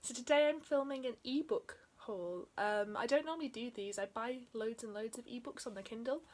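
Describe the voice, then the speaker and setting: A young woman in her twenties, slightly croaky after being ill, chatting casually about books from a small bedroom, with frequent ums and soft trailing endings. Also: on the high side, normal speed. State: slightly croaky from a chest infection. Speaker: book vlogger. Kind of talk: casual book haul chat.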